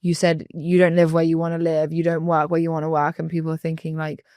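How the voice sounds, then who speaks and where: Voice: medium pitch, completely flat delivery, fast, darkish tone, no echo, studio quality. Earnest, reflective podcast co-host. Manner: earnest, reflective, explains with filler "like". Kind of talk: casual relationship podcast chat.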